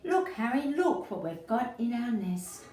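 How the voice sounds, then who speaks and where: A woman voicing a wistful male jackdaw in a children's storybook, with expressive delivery. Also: on the high side, measured pace, pitched above the performer's own voice. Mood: excited. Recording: roomy echo, distant mic, faint noise.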